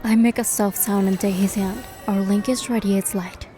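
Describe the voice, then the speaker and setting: Give the game a woman acting a bold, curious young heroine who tells her own fantasy tale. Her voice sits at a middle pitch with a soft, mellow tone. The delivery is expressive and scripted, over faint music. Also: moderate pace, lightly acted. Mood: narrating, hushed wonder. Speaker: fan dub voice actor and narrator. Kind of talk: scripted first-person fantasy game narration and dialogue.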